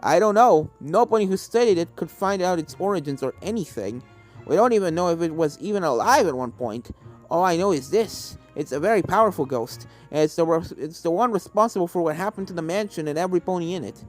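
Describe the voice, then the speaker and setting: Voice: mid-range voice, lively intonation, fairly quick, faint music behind, close to an everyday voice. A narrator voicing a kindly, serious male pony professor who explains things formally in a read-aloud story. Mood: grave.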